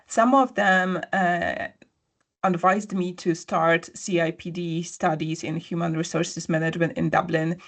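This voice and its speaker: A woman's voice: on the low side, fairly even intonation, measured pace. Woman telling her job story. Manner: storytelling, frequent fillers and restarts. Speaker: interview guest. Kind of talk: conversational interview answer.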